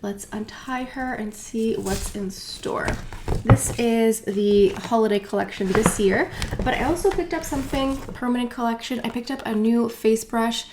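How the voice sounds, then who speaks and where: A woman's voice: a little high, measured pace, background noise. A woman chatting casually as she unboxes and reviews beauty products, rambling with asides and fillers. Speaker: beauty vlogger.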